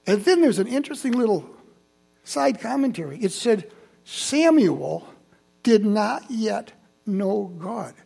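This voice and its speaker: An older man in his seventies with a high, gravelly, husky voice, speaking to a congregation in a church. He tells Bible stories and memories in a relaxed, chatty way, turning to the listeners with quick asides. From Holland, Michigan. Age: 70s. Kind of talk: personal sermon storytelling.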